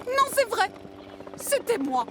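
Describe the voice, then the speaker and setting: A woman's voice: very high voice, sing-song, slow, background noise, exaggerated voice. A woman voicing a whining, dramatic child in a cartoon, plaintive and full of exclamations. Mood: sheepish, whining. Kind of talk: animated cartoon acting in French dub.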